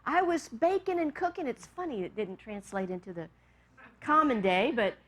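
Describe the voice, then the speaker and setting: A woman minister from Texas, leading a meditation and then giving a gentle, storytelling sermon with amused asides. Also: a little high, expressive, normal speed.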